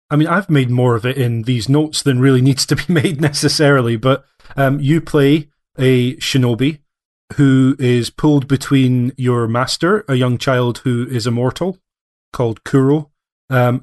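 Man musing over a game with fillers. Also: medium pitch. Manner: thoughtful, hedging with many fillers. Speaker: podcast co-host. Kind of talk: casual game discussion.

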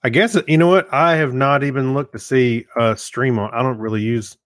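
A man's voice: mid-range voice, steady tone, moderate pace, fairly bright tone, no room sound. Male podcast co-host, dry sound. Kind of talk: casual chat and commentary.

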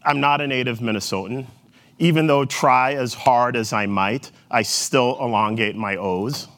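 A man's voice: medium pitch, a little slow, fairly bright tone. A middle-aged man from Jamaica giving a talk to an audience, telling his story in a reflective way with fillers. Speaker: guest speaker.

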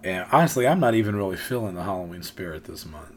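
Hoarse man ranting in casual chat. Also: deep voice, quick pace. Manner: animated ranting, frequent restarts. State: hoarse, losing his voice. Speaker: podcast co-host with his daughter.

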